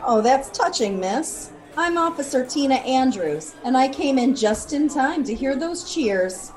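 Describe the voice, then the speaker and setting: A woman's voice: high voice, expressive, measured pace, a little mellow, faint music behind. A woman playing a matter-of-fact police officer in an audio drama, direct and composed. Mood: composed, official. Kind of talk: scripted audio drama acting.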